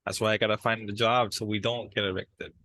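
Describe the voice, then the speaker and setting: A man playing a frustrated, unsure brother in a dramatized therapy session, talking in a halting, hesitant way. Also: lowish voice, flat delivery, bright, forceful tone, no echo. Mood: uncertain, defensive. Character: frustrated, unsure brother struggling to keep a job.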